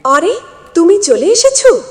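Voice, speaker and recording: High, animated village wife acted by a woman, reverberant. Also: a little slow, exaggerated voice. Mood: surprised, caring. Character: caring, gently scolding village wife and mother. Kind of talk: dramatised moral story acting. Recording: long echoing reverb.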